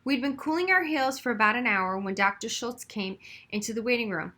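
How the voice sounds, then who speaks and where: A woman reading a children's novel aloud as narrator, with expressive storytelling delivery. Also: a little high, brisk. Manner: narrates expressively, acting out dialogue. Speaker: audiobook reader.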